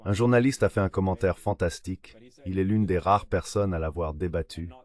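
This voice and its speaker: A man's synthetic voice reading translated political discussion as a measured voice-over. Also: sits low, steady tone, a little mellow. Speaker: voice-over translator of interview guests. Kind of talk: dubbed political discussion, measured read delivery.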